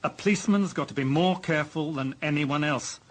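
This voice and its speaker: An actor playing an exasperated, authoritative deputy police chief with a British accent, giving stern, sarcastic reprimands in a radio drama. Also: male voice, a little high, brisk, natural voice. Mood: placating, firm.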